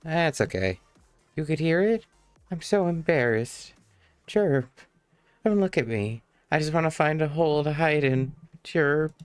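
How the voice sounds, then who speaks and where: A man's voice: a little high, animated, a little slow, clean tone, no echo, soft background music, pitched above the performer's own voice. A man voicing a small chirping bird character while reading video game dialogue aloud. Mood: shy, embarrassed.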